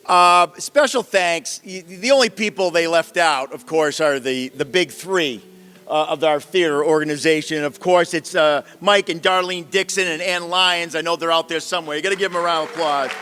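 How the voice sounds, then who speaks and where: A man's voice: fairly high pitch, normal speed, pushed and bright, clear, resonant tone. A man giving informal, off-the-cuff thanks to an audience in a folksy, chatty way. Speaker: theater organization representative giving closing thanks. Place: theater hall at a stage performance. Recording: hall reverb, faint music behind.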